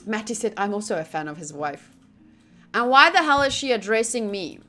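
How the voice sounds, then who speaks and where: A woman's voice: medium pitch, very animated, brisk, sharp tone, soft background music. A woman chatting casually on a livestream as she plays and reads along with a game, in a rambling, playful way. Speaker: streamer.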